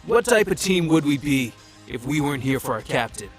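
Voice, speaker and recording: A man's voice: on the high side, sing-song, fairly quick, music in the background. A man acting a loyal, defiant teenage hero in a superhero audio drama. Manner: earnest, bold.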